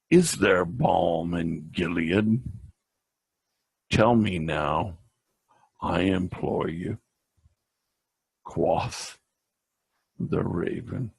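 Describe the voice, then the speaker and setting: A man giving a theatrical dramatic poetry recitation in a gravelly, husky voice, with drawn-out pauses and emphatic repeats. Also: low-pitched, a little mellow, no echo. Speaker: amateur reader of a classic poem.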